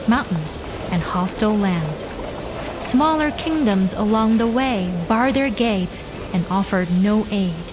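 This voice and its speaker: A woman narrating a scripted drama in a measured, solemn storytelling manner. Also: mid-range voice.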